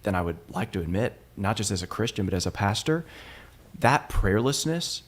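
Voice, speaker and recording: A young man with a lowish voice talks as a pastor. He is earnest and measured, pausing to restart his thoughts as he reflects. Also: animated, slightly rough. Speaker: lead pastor, interviewer. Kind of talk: reflective conversation.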